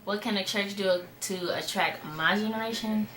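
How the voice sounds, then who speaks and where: A woman's voice: medium pitch, normal speed, a bit bright, a little hiss or hum, poor audio. A woman in her twenties answering playfully. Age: 20s. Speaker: interviewee.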